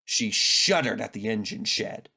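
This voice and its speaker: A man's voice: fairly low pitch, lively intonation, measured pace, vocal fry, bright, forceful tone, slightly rough. A man narrating a scripted children's story as the storyteller.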